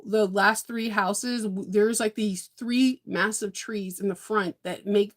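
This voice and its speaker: A woman's voice: mid-range voice, fairly even intonation, takes it slowly. A woman from California chatting casually while she plans her sewing projects, rambling with lots of fillers and frequent laughs. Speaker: sewing vlogger. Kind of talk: casual live-stream chat.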